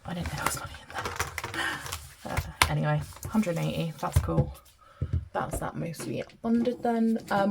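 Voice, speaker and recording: A British woman chats casually while counting cash aloud, her nose stuffed up and her voice low with a gravelly edge. She makes little apologetic asides as she goes. A clear noise hum and faint clicks run under the recording. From Britain. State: blocked-up nose from hay fever. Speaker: budgeting vlogger.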